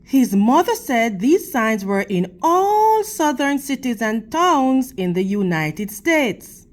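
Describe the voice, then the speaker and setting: A woman reading a picture book aloud to children in a teacherly manner, with deliberate, emphatic phrasing and questions for her young listeners. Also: on the high side, big pitch swings. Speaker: read-aloud storytime host for children.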